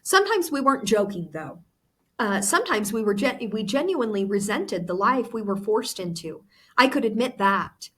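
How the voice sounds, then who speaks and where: A woman's voice: a little high, lively intonation, moderate pace, fairly bright tone, slightly rough. A woman teacher reading a novel aloud expressively to students. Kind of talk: expressive book read-aloud.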